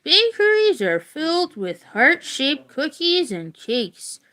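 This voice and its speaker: Slow-paced woman reading aloud. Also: high voice, sing-song, bright, forceful tone. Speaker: educator reading a book aloud. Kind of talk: read-aloud of a children's nonfiction book with casual asides.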